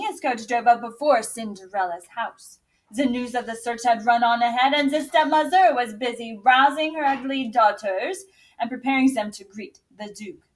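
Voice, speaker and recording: A woman reading a children's story aloud in an expressive, theatrical, deliberate way. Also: a little high, rough recording. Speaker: English teacher and drama director reading aloud.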